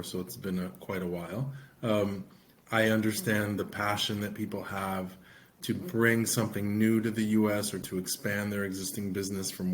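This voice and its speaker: Male co-host, middle-aged lawyer, steady and explanatory, flat low delivery. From United States. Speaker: immigration attorney and podcast co-host. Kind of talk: podcast conversation.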